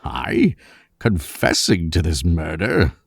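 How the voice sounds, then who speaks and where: A shrewd older professor played by a man holds forth in an old radio mystery, pompous and deliberate. His gravelly voice moves slowly and swoops dramatically from low to high. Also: huge pitch swings, no echo, clean studio sound, heavily acted. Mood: dramatic, accusing. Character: shrewd, theatrical older professor, father unmasking a killer. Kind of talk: 1940s radio mystery acting.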